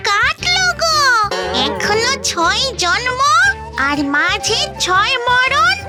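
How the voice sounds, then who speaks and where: A clingy female ghost wife from a cartoon fairy tale. She has a piercingly high voice and stretches her insistent, teasing phrases slowly, with loud playful music underneath. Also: big pitch swings, exaggerated voice. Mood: taunting, searching.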